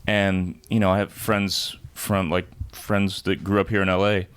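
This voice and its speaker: A man's voice: low-pitched, little pitch movement, measured pace, a bit bright, smooth. A man from St. Louis who plays in a band, talking in a reflective way and often searching for his words. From St. Louis, USA. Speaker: band member. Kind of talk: casual podcast chat.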